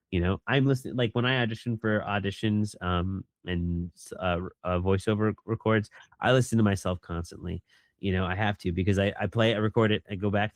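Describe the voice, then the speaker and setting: Animated man, dry close voice. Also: no room sound. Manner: animated, jumps into a higher voice when quoting people. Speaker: voice actor guest. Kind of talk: casual interview chat.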